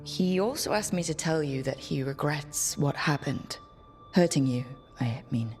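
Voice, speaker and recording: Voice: mid-range voice, unhurried, darkish tone, natural voice. An actor playing a young fantasy team leader in a video game, earnest, frank and a little unsure. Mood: uneasy. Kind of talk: video game dialogue acting. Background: background music.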